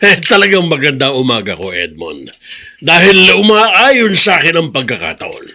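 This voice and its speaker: Radio drama role: bitter, gloating grandfather, elderly, emphatic, high and very animated. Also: moderate pace, heavily acted. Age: older.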